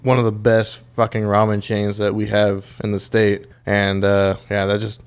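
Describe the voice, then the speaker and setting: A man's voice: fairly low pitch, completely flat delivery, normal speed, clear, resonant tone. A young man chatting casually as a podcast co-host, loose and profane, full of "like" and restarts. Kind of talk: casual chat.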